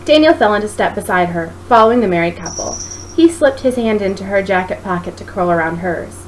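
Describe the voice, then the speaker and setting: A woman reading a story aloud as narrator, in an expressive style. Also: medium pitch, fairly quick. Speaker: author reading own book. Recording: noisy home recording.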